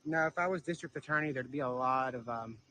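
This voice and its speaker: A man's voice: medium pitch, fast talker, bright, forceful tone. A man hosting a live stream in a casual, hesitant, informal way. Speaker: livestream host. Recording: noisy live stream with insect chirps.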